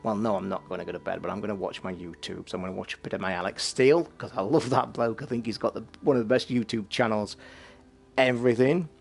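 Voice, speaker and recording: A man hosting a casual late-night livestream from his flat, talking in a rambling, blunt way and swearing freely. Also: on the low side, fast, creaky, slightly rough, soft background music. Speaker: livestream host. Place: home flat.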